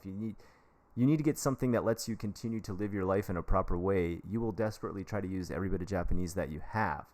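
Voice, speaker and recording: Chatty American man with a low voice. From United States. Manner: rambling, jokey, chummy, addresses viewers directly. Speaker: livestream advice host; English teacher. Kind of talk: casual live-stream advice chat.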